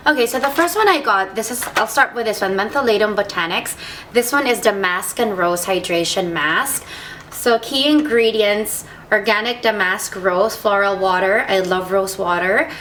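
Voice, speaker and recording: A woman's voice: medium pitch, moderate pace, a bit bright, slight background noise. Congested woman chatting through a beauty haul. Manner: chatty, reads labels aloud with small restarts. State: congested, under the weather. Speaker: beauty vlogger. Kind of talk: casual product haul review.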